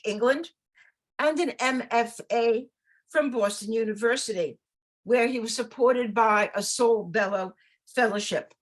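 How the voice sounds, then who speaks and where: A woman introducing an author at an online book event, reading quotes aloud in a measured way. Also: on the high side, rough recording. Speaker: host. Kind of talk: event introduction on a video call.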